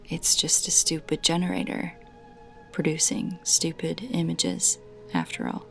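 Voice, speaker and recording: A woman narrating a scripted first-person creepy story in a measured way, with dramatic pauses between short phrases. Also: low voice, big pitch swings, creaky, a bit bright, soft background music.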